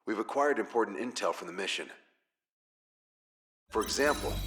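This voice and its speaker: A methodical intelligence analyst in a game cutscene. His low voice is calm and precise, laying out facts like a briefing. A clear music score sits behind him. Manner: matter-of-fact, precise, quizzing. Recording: music under the voice.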